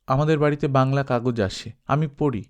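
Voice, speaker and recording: A shy young village bride from a storybook, read by a man. The manner is coy and affectionate, with gentle, playful teasing. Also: mid-range voice, steady tone, moderate pace, lightly acted. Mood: gently teasing. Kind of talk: storybook dialogue.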